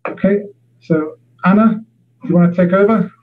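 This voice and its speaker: High-voiced man on a tinny call. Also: normal speed. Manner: hesitant, many uh fillers. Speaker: university lecturer. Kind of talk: academic online seminar talk. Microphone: video call. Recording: tinny phone-like audio.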